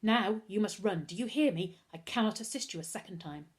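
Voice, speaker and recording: A woman's voice: mid-range voice, animated, brisk, natural voice. Woman as a stern French-accented male butler. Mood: commanding. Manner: formal, commanding, clipped. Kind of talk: storybook character voice.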